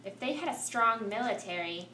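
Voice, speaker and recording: A woman's voice: on the high side, lively intonation, moderate pace, sharp tone. A woman playing a skeptical, questioning puppet pupil in an amateur classroom puppet show. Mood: skeptical, curious. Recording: roomy, distant, faintly noisy amateur recording.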